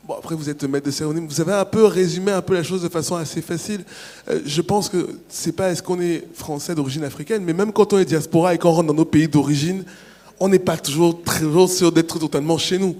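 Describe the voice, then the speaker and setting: A man's voice: a little high, dark tone. Cameroon-born man in an echoing hall. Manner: measured and explanatory, with frequent restarts. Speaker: author on a panel. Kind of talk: answering questions at a public panel. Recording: long reverb from a large hall.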